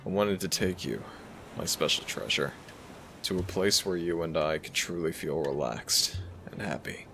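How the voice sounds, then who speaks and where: A man playing a gentle young dragon prince, a devoted boyfriend talking tenderly to the listener in a romantic audio roleplay. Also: deep voice, normal speed, creaky, slight background noise. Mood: tender, relaxed. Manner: tender, affectionate, soft-spoken reassurance. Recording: music behind the voice. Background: background music.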